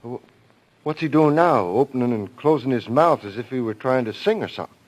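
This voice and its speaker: A man's voice: mid-range voice, lively intonation, a little fast, darkish tone, close to an everyday voice, poor audio. Actor as plain-spoken, curious working man. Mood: puzzled. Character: plain-spoken, curious male truck worker. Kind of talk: old-time radio thriller acting.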